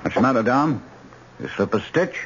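An actor playing a brisk, decisive hospital surgeon in a radio drama, with a commanding, clipped, pensive way of talking. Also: male voice, medium pitch, expressive, fairly bright tone, slight background noise. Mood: firm, decided. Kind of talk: 1970s radio drama acting. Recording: muffled old radio broadcast recording.

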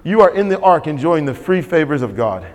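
A man's voice: fairly high pitch, sing-song, a little fast. A man preaching a sermon as pastor, emphatic and repetitive, calling for responses from the congregation. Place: church sanctuary. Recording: long hall reverb.

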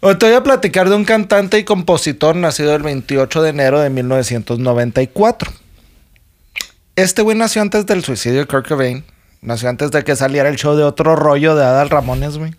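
A man's voice: on the high side, a little fast. A young Mexican man co-hosting a podcast, chatting casually in a laid-back, jokey way with lots of slang and frequent laughter. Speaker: podcast co-host. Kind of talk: casual chat.

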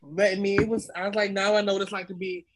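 A man's voice: high-pitched, level tone, fast, slight background noise. A man being interviewed as a cast member, chatting in a casual, joking way.

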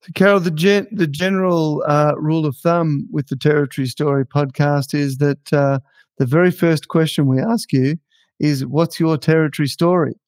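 A man's voice: a little high, fairly even intonation, a little mellow, dry recording, clean studio sound. Chatty, teasing male podcast host. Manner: chatty, teasing, quick to laugh. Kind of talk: casual podcast interviewing.

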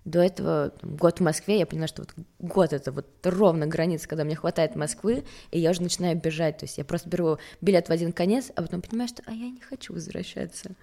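A young woman from Sweden speaks in a low, gravelly register with plenty of vocal fry. She is a model being interviewed, and she tells things candidly, wandering between thoughts and poking fun at herself. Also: fast talker, hardly any pauses, warm tone. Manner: candid, rambling, self-mocking. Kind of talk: casual chat.

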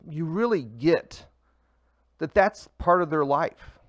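Relaxed man, dry close sound. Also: on the high side, takes it slowly, no room sound. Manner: relaxed, rambling with fillers. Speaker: food truck owner. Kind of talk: casual interview talk.

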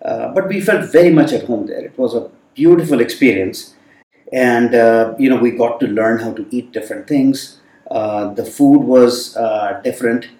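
A man whose first language is Hindi tells about his upbringing with reflective pauses and frequent fillers. He sits some distance from the microphone. The room around him adds a noticeable echo. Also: medium pitch, warm tone. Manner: thoughtful, many fillers. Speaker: guest. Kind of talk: reflective storytelling in conversation. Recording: roomy sound from a distant mic.

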